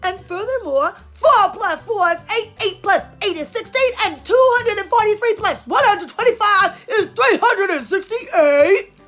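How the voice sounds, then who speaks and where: A woman voicing a big, mean, boastful girl capybara bully in a storybook, shouting and singsong. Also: very high-pitched, lively intonation, unhurried, hardly any pauses, soft background music, pitched above the performer's own voice, exaggerated voice. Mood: loud, showing off.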